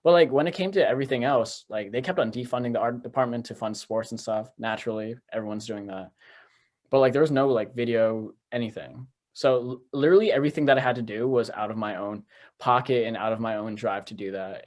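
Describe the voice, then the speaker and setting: Young guy, laid-back and slangy, amused, mid-range voice. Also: medium pitch. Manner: relaxed, easily amused, slangy. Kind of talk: casual chat.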